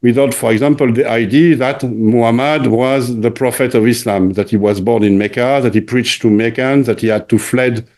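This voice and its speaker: A man hosting an interview, speaking hesitantly with many pauses and restarts. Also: mid-range voice, steady tone, darkish tone. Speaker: interview host. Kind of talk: interview conversation.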